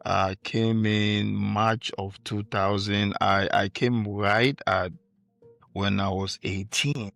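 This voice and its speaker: Gravelly man in his forties. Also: on the low side, steady tone, takes it slowly, no echo, faint music behind. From Cameroon. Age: 40s. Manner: warm, attentive listener with constant short affirmations. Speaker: podcast guest. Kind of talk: casual conversational interview.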